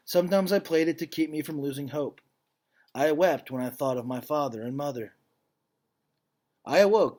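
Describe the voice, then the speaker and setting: A man reading a picture book aloud like a teacher to students, with measured phrasing and casual explanatory asides. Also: a little high, darkish tone.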